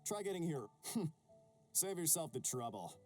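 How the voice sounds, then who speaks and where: A man's voice: fairly high pitch, sing-song, unhurried. A man playing a dismissive, mocking, unhelpful attendant in a video game, speaking curtly. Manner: dismissive, curt. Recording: music behind the voice. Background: loud game music.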